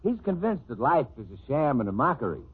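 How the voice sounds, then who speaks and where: A man's voice: mid-range voice, sing-song, a little fast. An American man narrating a 1940s radio comedy as announcer, mock-dramatic, on a tinny, noisy old recording.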